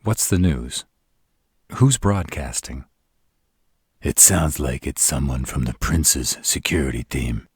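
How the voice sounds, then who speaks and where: Arrogant male vampire played by a man for audio drama: extremely low, gravel-husky, deliberate and dramatic. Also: no echo, heavily acted. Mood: alarmed. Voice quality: very deep, rough husky voice. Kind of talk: scripted audio roleplay acting.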